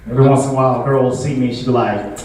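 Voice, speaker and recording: A man's voice: medium pitch, steady tone, rapid-fire, darkish tone. Young man doing stand-up in an echoey room. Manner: laid-back, conversational, frequent filler words. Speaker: stand-up comedian. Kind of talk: stand-up comedy set. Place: comedy show venue with a live audience. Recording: echoey room, distant mic, audible noise.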